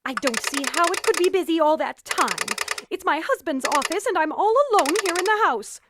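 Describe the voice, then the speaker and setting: An actress playing a nervous, demanding invalid wife in a suspense radio drama, with an imperious, agitated manner. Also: female voice, extremely high pitch, animated, moderate pace, heavy background noise. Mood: fretful.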